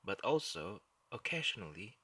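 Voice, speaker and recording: A man's voice: on the low side, very animated, moderate pace, pushed and bright, husky. A man with an Indonesian accent, whose first language is Indonesian, hosting a solo history podcast in an earnest, explanatory way. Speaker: podcast host.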